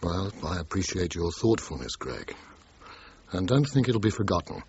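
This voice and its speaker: Low, animated, blunt man. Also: moderate pace, creaky, full character voice. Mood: grateful. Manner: frank, hesitant. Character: successful, blunt male friend in the music business. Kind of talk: naturalistic radio drama acting. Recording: dull lo-fi radio recording, background noise.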